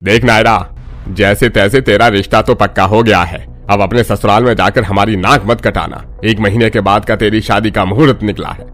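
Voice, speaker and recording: A man's voice: fairly low pitch, fairly even intonation, normal speed, fairly bright tone, lightly acted. A man voicing a domineering, angry middle-aged father in a family cartoon, commanding and scolding. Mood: stern. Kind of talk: Hindi cartoon family drama acting.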